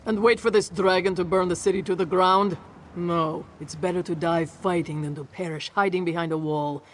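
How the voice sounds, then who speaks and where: A man's voice: high-pitched, fairly even intonation, normal speed, slight background noise, natural voice. A man voicing a blunt, sober, pragmatic profiteer in scripted video game dialogue. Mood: grim, resolute. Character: grim pragmatic profiteer, ready for the worst.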